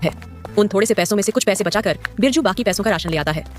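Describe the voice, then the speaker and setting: A narrator reading an animated story in a brisk, plain storytelling manner. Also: medium pitch, soft background music.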